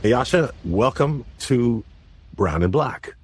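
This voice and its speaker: A man's voice: mid-range voice, lively intonation, slow pace, a little hiss or hum. A man co-hosting a podcast, introducing the guest with enthusiasm and asking long, winding questions. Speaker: podcast co-host.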